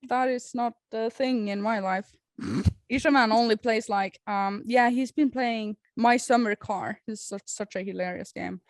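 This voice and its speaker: A woman's voice: on the high side, steady tone, dry recording. Giggly Norwegian-accented woman, dry sound. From Norway. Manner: giggly, quick bursts of laughter. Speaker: podcast co-host. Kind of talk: casual chat.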